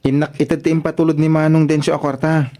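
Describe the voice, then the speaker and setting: A man's voice: a little high, little pitch movement, fast, warm tone, natural voice. A man playing a shy, flustered young man in a radio drama, speaking hesitantly with stammers. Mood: dutiful, reassuring. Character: shy, flustered young man tempted by his sister-in-law.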